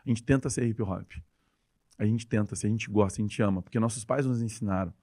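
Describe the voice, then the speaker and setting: A man's voice: fairly low pitch, dark tone. A man with a gaúcho Brazilian accent, a dance teacher telling stories with enthusiasm as a podcast guest. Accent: Gaúcho Brazilian Portuguese. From Rio Grande do Sul, Brazil. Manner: enthusiastic, rapid storytelling. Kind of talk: casual interview chat.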